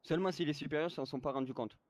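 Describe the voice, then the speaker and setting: A man's voice: a little high, very fast, a little mellow. A man improvising as a senior police officer in an online role-play game, explaining procedure step by step.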